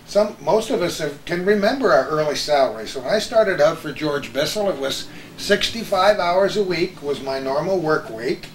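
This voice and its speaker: An older American man hosts a panel chat, looking back in a conversational way. His voice sits a little high for a man. The room rings with echo, and some noise runs underneath. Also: expressive, moderate pace. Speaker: radio veteran hosting a panel chat. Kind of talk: reminiscing conversation. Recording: roomy echo with background hiss.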